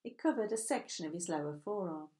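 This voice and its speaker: A woman's voice: fairly low pitch, expressive, fast talker, dark tone. Woman reading a romance novel aloud. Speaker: author reading own novel. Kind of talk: scripted narration of a romance novel.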